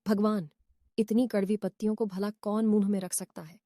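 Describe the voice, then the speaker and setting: A woman's voice: mid-range voice, fairly even intonation, moderate pace, dark tone, dry recording, close to an everyday voice. Haughty young royal played by a woman, blunt, storybook, dry recording. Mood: indignant. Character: proud, harsh young prince.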